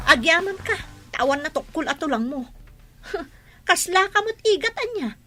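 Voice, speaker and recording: A woman's voice: very high-pitched, big pitch swings, normal speed, bright, forceful tone, soft background music, full character voice. A woman acting in a radio drama as a fed-up wife scolding her drunkard husband, nagging and sarcastic. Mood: scornful, scolding.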